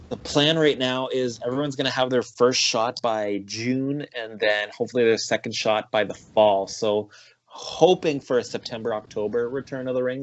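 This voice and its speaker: A man's voice: fairly low pitch, lo-fi sound. A man with a Canadian accent, a pro wrestler talking casually in an interview with lots of fillers. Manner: relaxed, chatty, with frequent fillers.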